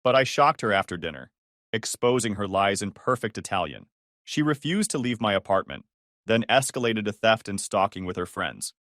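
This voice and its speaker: A man's voice: fairly low pitch, animated, normal speed, a bit bright, dry recording, studio quality. A man in his twenties narrating his own story in a sarcastic, wry tone. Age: 20s. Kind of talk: scripted first-person story narration.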